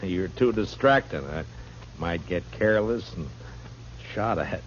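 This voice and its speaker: A man's voice: sits low, animated, slow pace. A man with an American accent playing a stern, weary, dryly good-humored marshal in a 1950s Western radio drama, with laconic, authoritative delivery. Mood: grave, reserved. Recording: muffled, noisy old radio recording.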